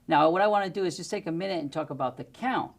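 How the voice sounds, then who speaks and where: A man's voice: a little high, fast talker. A middle-aged man giving a methodical, step-by-step music lesson to the camera, speaking into a lapel mic in a studio. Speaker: music teacher. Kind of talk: instructional demonstration to camera. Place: indoor studio with plain backdrop.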